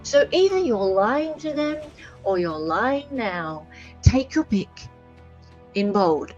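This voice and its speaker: A woman's voice: medium pitch, sing-song, slow pace, darkish tone. An Australian woman hosting a casual livestream, doing dramatic readings and commentary in a sarcastic, bantering way. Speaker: livestream host. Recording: dull lo-fi audio. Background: music.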